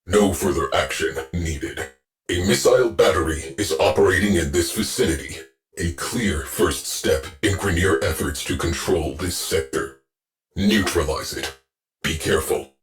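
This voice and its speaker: Game ship AI, very low voice, unhurried, clipped terse orders, dry deadpan. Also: male voice. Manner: clipped, terse mission briefings. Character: stern veteran ship AI, calm military commander. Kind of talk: video game voice lines.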